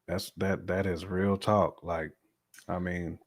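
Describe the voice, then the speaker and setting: A man's voice: low voice, little pitch movement, slow, slightly rough. An American man co-hosting a casual, opinionated chat, speaking bluntly in slang and repeating phrases for emphasis. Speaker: podcast co-host.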